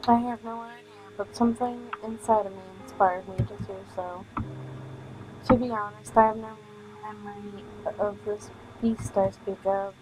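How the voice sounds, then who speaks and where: A woman streaming a visual novel, reading the text aloud with halting delivery and casual commentary. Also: mid-range voice, flat delivery, rough recording. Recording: music playing behind the voice. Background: music.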